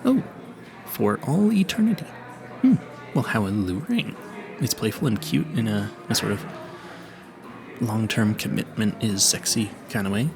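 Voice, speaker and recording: A man's voice: fairly low pitch, animated, unhurried, creaky, pauses often, music in the background. A man playing an awkward, smitten young vampire hunter in a romantic audio roleplay, stammering nervously and apologizing politely. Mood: shyly smitten.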